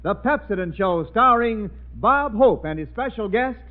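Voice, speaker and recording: A man's voice: sits high, animated, moderate pace, very noisy. An American man announcing a 1940s radio show and its sponsor in a showy, declamatory style. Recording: tinny 1940s radio broadcast.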